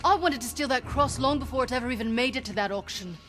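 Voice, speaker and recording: A woman's voice: high voice, fast talker, close to an everyday voice. Female mercenary leader for a story-driven game, played by an actress: assertive, blunt, impatient, used to command. Light musical underscore. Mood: angry, accusing. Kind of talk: cinematic video game acting. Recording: game dialogue over music.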